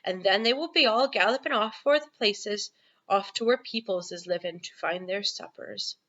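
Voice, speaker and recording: A woman plays a big friendly giant from a storybook, earnest and excitable. The voice is bright and forceful, leaning hard on drawn-out, muddled words. The recording sounds slightly dull. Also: mid-range voice, lightly acted. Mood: matter-of-fact. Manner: emphatic, drawn-out words in mangled folksy grammar. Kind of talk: children's storybook read-aloud.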